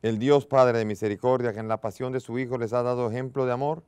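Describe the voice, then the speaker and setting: A man in his sixties, a bishop, leading prayers and speaking to the faithful at Mass in a large church, in a solemn, measured way. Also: medium pitch, steady tone, a little mellow, clean tone, dry recording. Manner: solemn, measured liturgical delivery. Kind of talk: liturgical prayer and homily.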